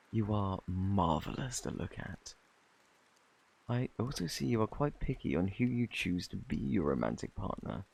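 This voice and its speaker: Low, gravelly British fortune teller played by a man. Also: darkish tone, exaggerated voice. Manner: courtly, old-fashioned, teasing phrasing. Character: flirty, peculiar fortune teller man at a fantasy inn. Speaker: ASMR roleplay performer. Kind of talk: ASMR character roleplay.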